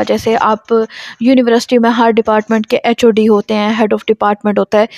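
A woman's voice: fairly high pitch, a little mellow, no echo. A woman with a Pakistani accent giving an explanatory law lecture, reading text aloud and explaining it in a didactic way, posing and answering her own questions.

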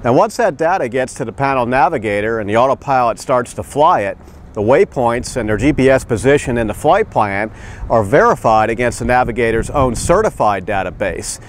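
A man's voice: mid-range voice, expressive, brisk, a little hiss or hum. A man narrating a scripted voice-over in a wry, explanatory manner. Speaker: magazine editor and narrator.

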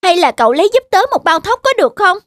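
Whiny, very high-pitched little boy mouse. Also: sing-song, fast talker, fairly bright tone, no echo, heavily acted. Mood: feigned tiredness. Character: lazy, whiny young boy mouse, dependent and fretful. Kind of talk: children's cartoon dubbing.

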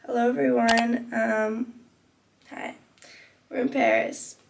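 A woman's voice: fairly high pitch, level tone, takes it slowly, vocal fry, over loud music. A young woman vlogging casually to camera, stuffed up with a cold and drowsy, rambling informally in a hotel room. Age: young adult. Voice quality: stuffy, congested nose. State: stuffed up with a cold, just woke up. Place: small tiled hotel bathroom and hotel room. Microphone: camera at arm's length or propped nearby. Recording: noisy camera audio with background ambience.

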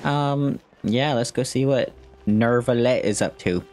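A man's voice: medium pitch, unhurried, a little mellow. A young man doing a casual game playthrough, reacting and reading on-screen text aloud as a streamer. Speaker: gaming streamer. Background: faint game music.

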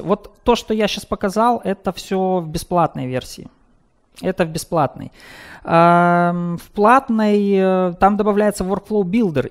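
Male presenter, 30s, technical talk and Q&A, hesitant with fillers, dim projector room. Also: sits high. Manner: hesitant, many filler sounds. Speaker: presenter at a developer meetup.

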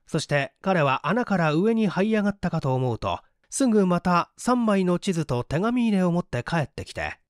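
A man reading a mystery story as a scripted dramatic narration, with deliberate theatrical storytelling. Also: sits high, very animated, no echo, clean studio sound. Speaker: audiobook narrator, stage performer.

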